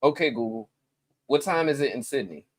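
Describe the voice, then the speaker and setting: A man hosting a live stream, chatting casually and greeting viewers in slang-heavy talk, his voice jumping up with excitement. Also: mid-range voice, a little fast. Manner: excitable, voice jumps up when greeting people; relaxed slang-heavy talk with many fillers.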